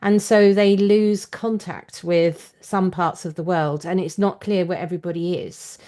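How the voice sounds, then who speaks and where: A woman with a slightly low voice speaks as an interview host. She talks conversationally and lays out her opinions step by step, in an analytical, explanatory manner. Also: steady tone, unhurried, dark tone. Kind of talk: conversational book and screen discussion.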